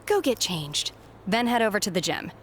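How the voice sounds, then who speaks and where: A brusque, exasperated woman played by an actress runs recruiting in a wrestling game story. Her delivery is sarcastic and no-nonsense with lively swings in pitch, over a noisy background. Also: normal speed, lightly acted. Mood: stern, sarcastic. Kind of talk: video game cutscene voice acting.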